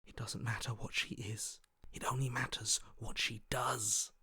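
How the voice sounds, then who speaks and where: A skittish hunted creature in a video game, hushed and breathy. He often drops toward a whisper, choosing words with careful, watchful wariness. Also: mid-range voice, level tone, dry recording, clean studio sound, heavily acted. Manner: cautious, watchful, measured. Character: wary, skittish male hunted prey-creature inner voice. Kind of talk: video game character acting.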